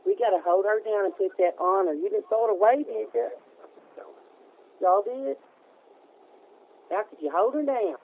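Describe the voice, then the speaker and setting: A man voicing a loud, foul-mouthed middle-aged woman who yells and swears, heard over a tinny phone line. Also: sits high, normal speed, long pauses. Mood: bossy. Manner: shouting, indignant, swearing.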